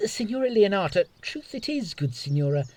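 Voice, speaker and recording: An actor playing a witty, teasing soldier lord in love, in a Shakespeare radio play, with playful, expressive delivery. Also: male voice, high voice, brisk. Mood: awkward, earnest.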